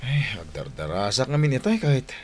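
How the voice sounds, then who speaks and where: Easygoing husband, medium pitch. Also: male voice, sing-song, a little fast, dry recording. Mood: calm, mild. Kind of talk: radio drama acting.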